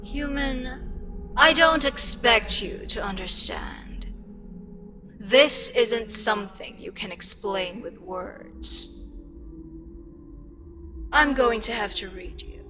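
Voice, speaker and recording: A woman voicing an ancient, weary eldritch deity in an intimate ASMR roleplay, speaking deliberately with pauses and breathy, whispery touches. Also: a little high, background noise. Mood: intrigued, knowing. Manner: slow, deliberate, pausing, drifting into breathy whispers. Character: ancient eldritch deity, female, weary, frustrated, wistfully amused by mortals. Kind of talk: ASMR audio roleplay, intimate scripted monologue.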